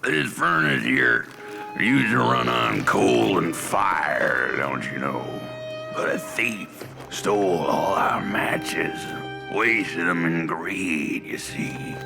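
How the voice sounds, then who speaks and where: Video game role: broken old miner ghoul, gravelly and swinging in pitch, wry rambling guide. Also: male voice, very animated, slow, music in the background, exaggerated voice. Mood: wry welcome. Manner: folksy, rambling, throat-clearing drawl. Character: crippled, half-dead old coal miner, wry tour guide. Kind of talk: character acting in a video game.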